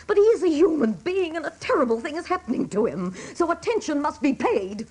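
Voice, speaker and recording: An actress playing a weary, fiercely loyal older wife and mother in a radio drama, pleading emphatically in a husky voice. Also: high-pitched, sing-song, brisk, a little mellow. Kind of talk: dramatic radio play acting.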